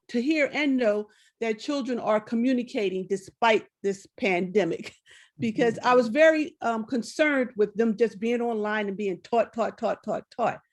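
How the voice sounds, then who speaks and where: Woman chiming in on an online talk, highish voice, emphatic, repeats herself. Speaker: audience member asking a question. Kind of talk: spoken comment in an online discussion.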